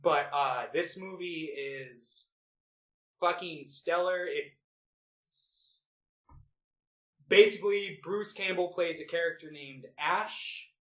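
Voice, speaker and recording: Higher-voiced man in an echoey room. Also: slow, pauses often, bright, forceful tone. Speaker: movie podcast co-host. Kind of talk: casual chat about favorite films. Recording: roomy, echoey home podcast recording.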